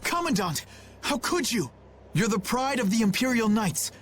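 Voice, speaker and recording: An actor playing an earnest, righteous, dismayed young knight in a game, speaking forcefully. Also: male voice, very high-pitched, big pitch swings, moderate pace, a little hiss or hum, full character voice. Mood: appalled. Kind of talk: English game dub acting.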